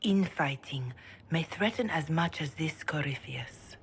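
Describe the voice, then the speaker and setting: An actress playing a calm, grave priestess who speaks softly and in a measured way, often half-whispered. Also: female voice, deep voice, a little hiss or hum. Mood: solemn. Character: calm elderly woman cleric, gentle and grave.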